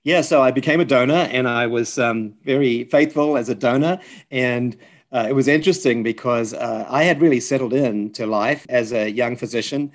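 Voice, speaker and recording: An older man with a mixed South African and New Zealand accent, telling personal stories reflectively as an interview guest, in a halting, hesitant way. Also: medium pitch. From South Africa, later New Zealand. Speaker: podcast guest, physician and chaplain.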